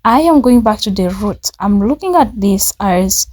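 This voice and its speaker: A woman's voice: mid-range voice, expressive, unhurried, darkish tone. A woman from Africa giving a reflective video commentary, earnest and searching, with some restarts.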